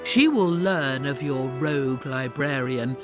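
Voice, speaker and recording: A wary, defiant battle sister. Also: female voice, a bass voice, slow. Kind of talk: video game cutscene acting. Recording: game audio over music.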